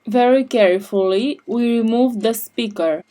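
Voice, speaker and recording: A woman narrating a scripted step-by-step repair tutorial in short, measured sentences. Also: a little high, warm tone.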